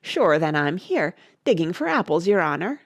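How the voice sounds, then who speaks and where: A woman's voice: fairly low pitch, very animated, moderate pace, no echo, studio quality. A woman voicing a deferential, plaintive male servant creature in a storybook. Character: humble, reluctant Irish servant creature, male. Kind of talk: storybook character voice.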